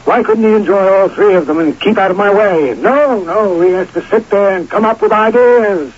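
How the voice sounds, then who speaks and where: A man's voice: sits high, moderate pace. A man playing a grumbling, self-important older perfume maker in a radio drama, complaining in an emphatic, theatrical way. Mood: exasperated. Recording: dull, noisy old radio broadcast.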